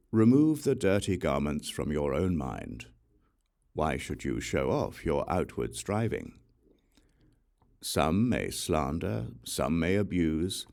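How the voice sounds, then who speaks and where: Slow, very deep-voiced man reading sacred verse. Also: gravelly, a little mellow. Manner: deliberate, with frequent pauses between short phrases. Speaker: audiobook reader.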